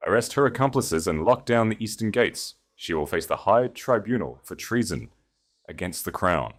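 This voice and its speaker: A deep-voiced sovereign Grand Duke, acted by a male narrator in a dramatic storybook tale. He is cold and imperious, passing judgment with menace and wrath. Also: sing-song, normal speed, a bit bright, exaggerated voice. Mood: stern command.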